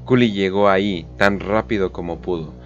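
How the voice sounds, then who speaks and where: A low-pitched man narrates a dark war story in a solemn, deliberate manner. Music plays clearly behind his scripted, dramatic reading. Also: highs cut off.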